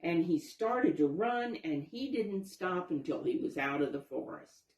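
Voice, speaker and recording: A woman's voice: lowish voice, animated, a little slow, darkish tone. A woman narrating a children's storybook, explaining things to young listeners as she reads. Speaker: storybook reader, teacher. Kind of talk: children's read-aloud narration with asides. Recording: roomy, echoing sound.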